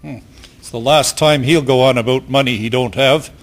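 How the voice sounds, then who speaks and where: A man's voice: mid-range voice, steady tone, moderate pace, soft background music, close to an everyday voice. A man playing a surly, belligerent hard-drinking brawler in a historical radio drama. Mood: gloating. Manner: surly, threatening.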